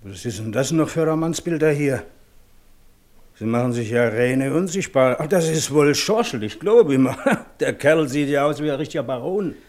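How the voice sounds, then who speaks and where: An actor playing a teasing, rough country horse dealer in a German radio play, telling things in folksy Silesian dialect. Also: male voice, mid-range voice, animated, darkish tone, lightly acted. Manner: folksy, drawn-out storytelling.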